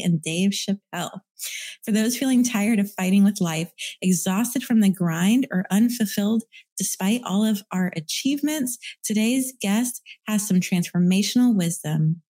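A woman's voice: medium pitch, dark tone. Earnest woman hosting a podcast. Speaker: podcast host. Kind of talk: scripted podcast intro, then interviewing.

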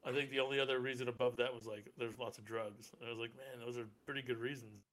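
Man, stoned podcast host, self-mocking jokes, mid-pitched voice. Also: fairly even intonation, fast talker, fairly bright tone, poor audio. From Canada (Welland, Niagara). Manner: self-deprecating, jokey, quotes himself. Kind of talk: casual podcast chat.

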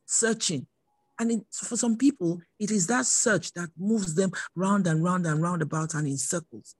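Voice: medium pitch, mellow, slightly rough, no room sound. A preacher giving an earnest sermon with personal testimony, repeating phrases for emphasis.

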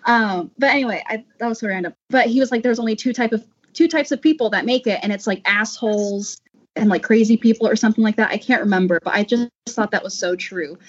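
A woman's voice: a little high, quick pace, husky. A woman chatting casually on a panel, often acting out imagined lines as she talks. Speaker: voice actor on a panel. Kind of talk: casual chat. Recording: dull lo-fi audio.

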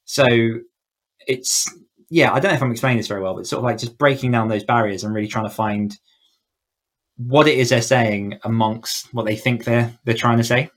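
Man explaining informally, mid-pitched voice. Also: fairly quick, fairly bright tone. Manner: informal, explanatory, peppered with "like" and "you know". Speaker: author coach and guest. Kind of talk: conversational podcast interview. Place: indoors, in a room at home, online call.

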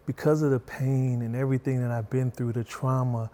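A middle-aged man, a guest on an interview show, speaking in a soft-spoken, gentle way with a slow, even cadence. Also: medium pitch.

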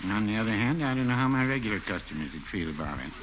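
A man's voice: on the low side, animated, rapid-fire, lightly acted. Man playing a mild folksy druggist, noisy old radio. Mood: mild, stubborn. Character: folksy, literal-minded old neighborhood druggist, chuckling at his jokes. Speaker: supporting comic character. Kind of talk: 1940s radio comedy acting. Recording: noisy, muffled 1940s radio recording.

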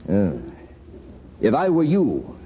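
A breezy, bumbling doctor in a vintage radio comedy. His pitch swings very widely. The old recording is dull and slightly noisy. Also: wildly swooping pitch, slow pace, full character voice. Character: breezy, bumbling adult male doctor reading charts. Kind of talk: 1940s-50s radio comedy acting.

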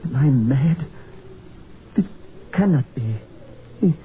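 An actor playing an injured, agitated man in a 1940s radio horror drama, speaking in formal, halting, dramatic phrases. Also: medium pitch, expressive. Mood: horrified. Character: injured foreign man, agitated, awestruck and insistent. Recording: noisy, tinny 1940s radio recording.

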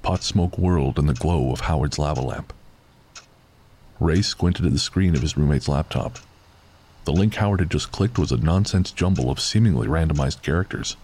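Deep, dark-voiced male horror narrator. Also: normal speed, a little hiss or hum. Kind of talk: scripted literary horror narration. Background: faint music.

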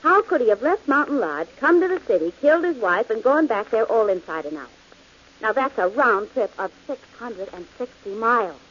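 An actress playing a skeptical, dry-witted companion in an old radio drama. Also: female voice, on the high side, very animated, normal speed, a bit bright, slightly rough, heavily acted. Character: skeptical wry woman, detective's companion. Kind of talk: 1940s radio acting. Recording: dull old radio broadcast with hiss.

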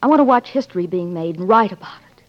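A woman's voice: on the low side, expressive, fast talker, close to an everyday voice. An actress playing an ambitious, romantic newspaperwoman, earnest and witty, in 1940s radio drama style. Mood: torn, resolute. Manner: earnest, dramatic, clipped wit. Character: ambitious, romantic American newspaperwoman torn by love. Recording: muffled 1940s radio recording.

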